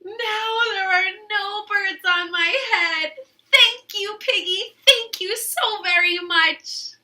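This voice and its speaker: A woman's voice: extremely high pitch, slow, bright, forceful tone, voice pushed up, full character voice. A woman voicing an anxious, fretful male elephant in a storybook reading, with a whiny, wailing way of complaining. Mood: relieved.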